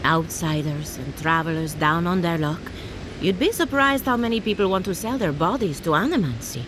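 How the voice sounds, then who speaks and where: A woman acting as a cheerful, eccentric necromancer who farms the undead for a fantasy game. Her delivery is theatrical and breezy, swinging widely in pitch, doting yet matter-of-fact about gore. There is clear noise behind her. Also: animated, moderate pace, natural voice. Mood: fond, resigned. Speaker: quest-giving farmer.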